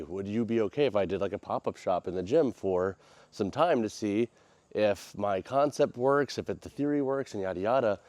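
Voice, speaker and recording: Low-pitched nasal American man vlogging. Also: warm tone, no echo. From United States. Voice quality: nasal, congested. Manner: informal, slangy, rambling. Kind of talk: casual vlog chat.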